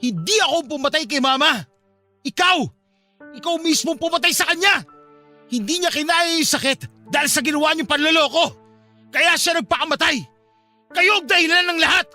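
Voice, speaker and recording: An actor playing an anguished young adult son in a Filipino radio drama, bitter and pleading, with emotional delivery. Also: male voice, very high-pitched, moderate pace, pushed and bright, heavily acted. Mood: angry, anguished. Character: anguished adult son confronting his cold father. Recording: music bed behind the voice.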